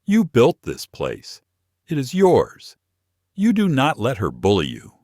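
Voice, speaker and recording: A man's voice: medium pitch, huge pitch swings, takes it slowly, a little mellow, dry recording, clean studio sound, voice pushed up, full character voice. A man narrating a story voices a fiercely loyal auto shop employee with emphatic delivery. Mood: fierce, protective.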